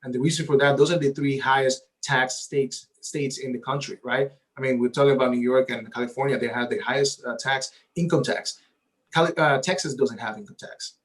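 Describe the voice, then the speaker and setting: A man whose first language is Spanish, speaking as a guest realtor at a middle pitch. He talks eagerly and at length, checking in with a frequent "right?". The tone is easy and conversational. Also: steady tone, brisk, poor audio. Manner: eager and talkative, often adds "right?". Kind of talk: casual interview talk.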